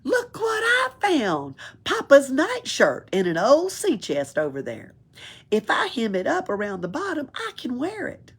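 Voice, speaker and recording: A woman's voice: high-pitched, huge pitch swings, unhurried, breathy edge, voice pushed up, heavily acted. A woman voicing a bold, cheeky young girl in a storybook, playful and full of confidence. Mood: matter-of-fact. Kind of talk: storybook read-aloud.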